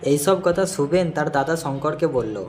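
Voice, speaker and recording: A man's voice: a little high, expressive, normal speed, a little mellow, faint music behind. A man narrating a scripted cartoon story.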